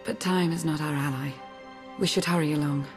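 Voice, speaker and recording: A lowish female voice over clear background music. A woman acts out a composed and devoted dark-empire agent in a video game, earnest, measured and restrained. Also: steady tone, close to an everyday voice. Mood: resigned, sad.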